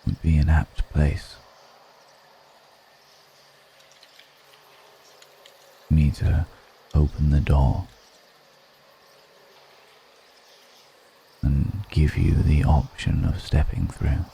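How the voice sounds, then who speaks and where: A man voicing a seductive, grave vampire lord in an intimate ASMR roleplay, speaking in a hushed, deliberate and solemn manner. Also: extremely low pitch, level tone, gravelly, slight background noise, full character voice. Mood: solemn, inviting.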